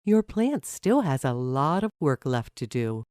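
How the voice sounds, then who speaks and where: A woman voicing a patient, encouraging mother who explains things gently to her child in a storybook. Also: rumbling low, very animated, unhurried, no echo, clean studio sound, exaggerated voice. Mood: patient, explanatory.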